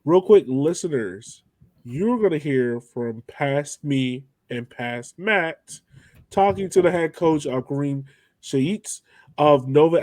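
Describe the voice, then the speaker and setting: Mellow male podcast host, dry sound. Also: medium pitch, dry recording. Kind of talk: casual sports podcast chat.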